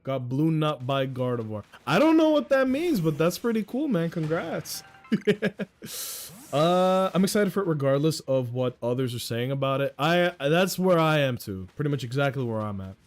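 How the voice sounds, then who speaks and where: Young dude on a game stream, loud voice, swears a lot, chats with viewers, faint music behind. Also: male voice, a little high, big pitch swings, moderate pace. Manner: chatty, swears freely, greets chat by name. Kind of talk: casual gaming livestream chat.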